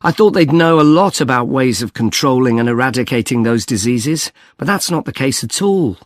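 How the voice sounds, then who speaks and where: A man's voice: mid-range voice, expressive, moderate pace, dry recording, lightly acted. Actor as a young male student, dry studio sound. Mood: engaged, opinionated. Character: young male student chatting about his course. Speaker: actor in a listening test dialogue. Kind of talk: scripted student discussion.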